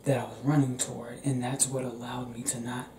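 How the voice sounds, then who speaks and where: A young man performing an intimate ASMR roleplay as a restless, tender werewolf, speaking in a hushed, halting, often whispered way. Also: mid-range voice, little pitch movement. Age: young adult. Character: young male werewolf confiding in his partner, restless, tender. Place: small indoor room. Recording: roomy echo, clear background noise.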